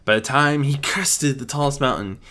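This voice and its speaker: A man's voice: medium pitch, moderate pace, sharp tone. A man reading a scripted adventure story as narrator, dramatic in delivery, with an amateur's occasional stumble.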